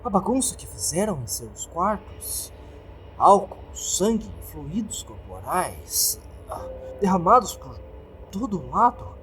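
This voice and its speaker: A man's voice: high voice, very animated, slow pace, fairly bright tone, voice pushed up, heavily acted. An indignant hotel maid acted by a man complains with emphatic exasperation. Her Brazilian-accented speech swings up and down over music in a horror story. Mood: exasperated complaint.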